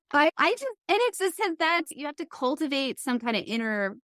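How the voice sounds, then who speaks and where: A woman's voice: sits high, big pitch swings, moderate pace, fairly bright tone, no echo, clean studio sound. A woman hosting a podcast in casual, reflective conversation, thoughtfully choosing her words. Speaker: podcast host.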